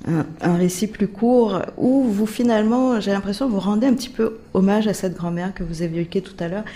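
A woman's voice: medium pitch, brisk, warm tone. A woman interviewer and teacher asking long, winding questions full of hesitations. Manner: hesitant, many "euh" fillers, builds long questions.

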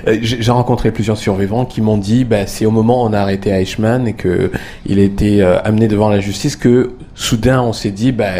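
Low-voiced male host, measured. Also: steady tone, dark tone, a little hiss or hum. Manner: measured, hesitant questions. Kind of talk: moderating a discussion.

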